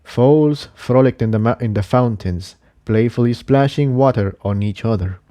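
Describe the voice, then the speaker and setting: A man's voice: mid-range voice, normal speed, mellow. A man narrating an adventure story from a script. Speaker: narrator reading fan fiction.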